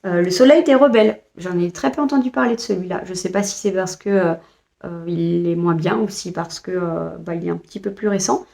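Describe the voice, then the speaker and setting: Chatty French woman with gravelly voice. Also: lowish voice, a little fast, a little mellow. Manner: chatty, many hesitations and restarts. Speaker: book vlogger. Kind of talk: casual book haul chat.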